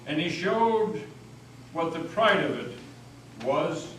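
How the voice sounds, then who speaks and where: A man's voice: on the high side, animated, takes it slowly, a bit bright. A man giving an impassioned, oratorical reading of a scripted dramatic story as narrator. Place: old meeting house, live performance. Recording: very echoey hall, distant mic, faint noise.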